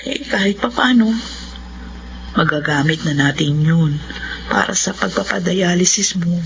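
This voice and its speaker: A woman's voice: fairly low pitch, measured pace, vocal fry, background noise. A Filipino woman playing a worried, devoted middle-aged wife and mother in a radio drama, pleading and consoling. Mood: anxious, consoling. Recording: muffled radio drama, loud music behind.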